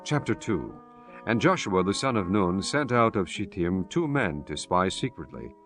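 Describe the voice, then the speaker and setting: A man's voice: sits low, animated, moderate pace, fairly bright tone, faint music behind. A man giving solemn, formal scripted narration of scripture as the narrator.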